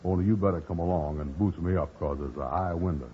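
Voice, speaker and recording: Guy doing big dumb lug, folksy slow drawl, real low voice, tinny old radio comedy. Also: slight background noise, close to an everyday voice. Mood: smug, lovestruck. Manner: folksy, ponderous, ungrammatical drawl. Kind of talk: 1950s radio comedy acting.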